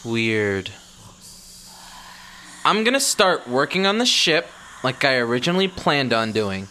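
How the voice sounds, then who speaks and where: A man's voice: medium pitch, sing-song, a little slow, pushed and bright. A man playing an anxious wreck of a spaceship pilot in an audio drama, nervous and hesitant. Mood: flustered.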